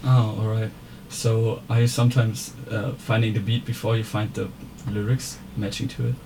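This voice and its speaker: A man interviewing a musician, asking relaxed, friendly questions. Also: lowish voice, moderate pace, creaky. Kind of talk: casual interview. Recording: steady background noise.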